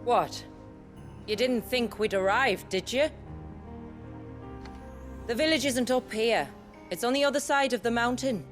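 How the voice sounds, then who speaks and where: An actress playing a hospitable, wry village leader in a video game cutscene. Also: female voice, a little high, expressive, unhurried, pauses often, soft background music. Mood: teasing.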